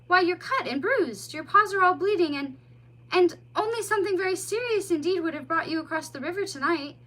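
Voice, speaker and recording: A woman's voice: very high voice, expressive, fairly bright tone, voice pushed up, rough recording. A woman voicing a kindly male mole who takes charge, firm and fatherly. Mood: concerned. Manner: brisk, firm then soothing. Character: kindly commanding male mole, middle-aged.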